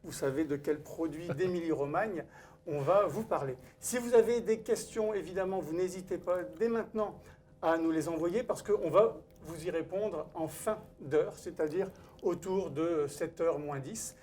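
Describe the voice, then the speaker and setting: A man's voice: on the high side, moderate pace, a little mellow, slight background noise. Man hosting a live event, formal and welcoming. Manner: formal, welcoming emcee delivery. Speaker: event host and wine author. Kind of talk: live-stream event presenting. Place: cooking school studio kitchen.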